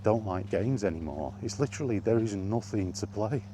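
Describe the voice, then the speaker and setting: A man's voice: lowish voice, expressive, moderate pace, background noise. A man talking off the cuff in an exasperated gaming rant, emphatic and full of rhetorical questions. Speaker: solo video game vlogger.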